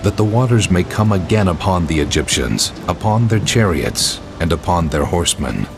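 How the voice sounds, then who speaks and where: A man playing a commanding, solemn God in a dramatized audio Bible, declaiming in measured archaic speech. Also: low voice, animated, vocal fry, background noise. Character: God of Israel, commanding, solemn, majestic male deity. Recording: music behind the voice.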